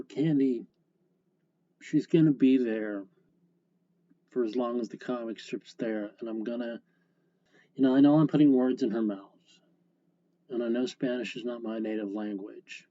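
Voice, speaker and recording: A man's voice: mid-range voice, mellow. A man hosting a casual solo podcast, rambling and correcting himself as he talks. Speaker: comic strip creator, podcast host. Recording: dull lo-fi sound, faint guitar.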